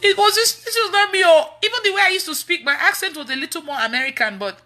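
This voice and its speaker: A woman in her thirties with a Nigerian accent, preaching casually online in an animated, emphatic way and repeating phrases. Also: very high-pitched, fast talker, soft background music. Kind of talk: casual testimony-style preaching on a livestream. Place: indoors in a room at home.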